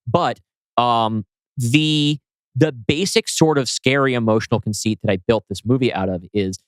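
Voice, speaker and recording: A man's voice: medium pitch, moderate pace, dry recording, rough recording. A man co-hosting a podcast, chatting casually as a screenwriter about his own writing.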